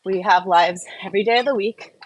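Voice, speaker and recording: A woman's voice: mid-range voice, fairly quick, muffled recording. A woman hosting a casual live Q&A, talking in an informal, rambling, chatty way. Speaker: live-stream host.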